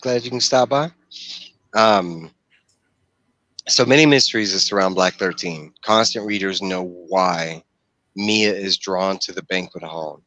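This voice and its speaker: A middle-aged man with a notably low voice hosts a casual livestream discussion. He talks in a rambling way, rephrasing his points as he goes. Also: unhurried, bright, forceful tone, no echo, low-quality recording. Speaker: host of a book discussion. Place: indoor room, video call.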